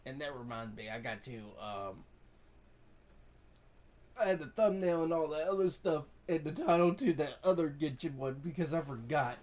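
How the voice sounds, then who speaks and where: A man's voice: a little high, expressive, moderate pace, a little mellow. A man giving casual live commentary on his gameplay, muttering to himself in mild exasperation. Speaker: game streamer. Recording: game music behind the voice. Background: video game music.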